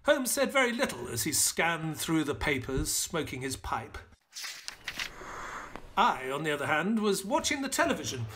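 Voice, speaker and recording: A man playing a courteous, excitable gentleman sidekick who narrates an audio play in an expressive, polite way. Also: a little high, moderate pace, background noise. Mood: measured storytelling. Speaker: actor and narrator.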